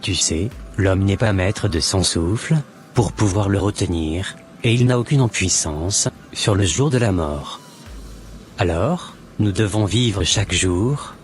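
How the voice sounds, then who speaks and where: Scripture-quoting church brother in a cartoon played by a man, lowish, music under. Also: a little mellow. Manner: slow, preachy, quotes scripture. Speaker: channel co-host.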